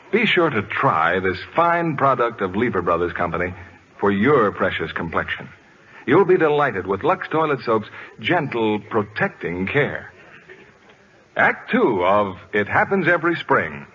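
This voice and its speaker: A man's voice: lowish voice, a little hiss or hum. A man announcing in a scripted 1940s radio style, narrating and selling as the programme's announcer. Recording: dull old radio recording. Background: music.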